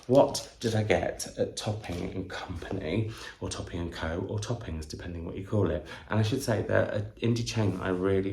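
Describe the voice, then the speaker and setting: A man's voice: low-pitched, gravelly, darkish tone, slight background noise. A man chatting to camera in a book vlog, effusive and full of wandering asides and questions to himself. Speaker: book vlogger, channel host. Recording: roomy indoor echo.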